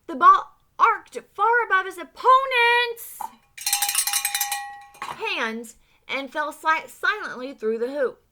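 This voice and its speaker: A woman reading a children's picture book aloud with expression, pausing to ask her listeners questions. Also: very high-pitched, expressive, bright, forceful tone, slight background noise. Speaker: read-aloud storyteller.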